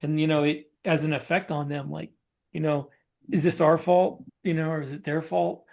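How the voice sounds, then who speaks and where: A man co-hosting a podcast, casually describing scenes in halting phrases with pauses and repeats. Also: on the high side, level tone, gravelly, a little mellow. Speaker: podcast co-host.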